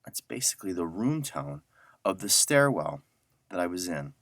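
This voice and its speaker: A middle-aged man giving a casual audio software tutorial, talking informally and thinking aloud as he works. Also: sits low, very animated, normal speed, dry recording, clean studio sound. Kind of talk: casual screen-share tutorial.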